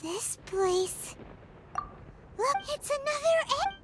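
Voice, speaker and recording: A woman voicing a tiny, excitable, childlike fairy companion in an anime game, with a squeaky voice. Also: very slow, noisy background, heavily acted. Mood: startled, confused. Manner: excitable, exclamatory. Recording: loud music under the voice.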